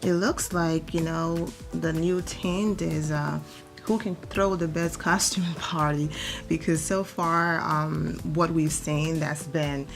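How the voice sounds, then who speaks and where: A hoarse woman, nearly losing her voice, chatting through celebrity gossip as a vlogger in a rambling, filler-heavy way. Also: deep voice, fairly even intonation, slow pace, soft background music. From Atlanta, Georgia. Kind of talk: casual gossip commentary.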